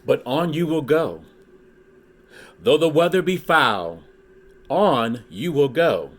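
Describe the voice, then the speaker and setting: A man reading a rhyming children's book aloud in an expressive, deliberate way, pausing dramatically for emphasis. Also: a little high. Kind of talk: expressive storybook read-aloud in rhyme.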